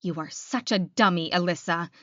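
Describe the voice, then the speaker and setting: A woman's voice: on the low side, moderate pace, no room sound, lo-fi sound, lightly acted. Woman playing an angry, imperious she-wolf leader. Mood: self-reproachful. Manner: sharp, imperious, confrontational. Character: angry, proud female werewolf pack leader.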